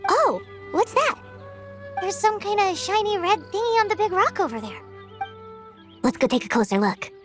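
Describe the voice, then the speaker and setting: Tiny chirpy fairy sidekick, extremely high squeaky voice, very animated, game dialogue, music behind. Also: female voice, normal speed, long pauses. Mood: relieved, curious. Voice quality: squeaky, childlike. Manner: perky, speaks of herself in third person. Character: tiny chirpy female fairy companion, eager and childlike.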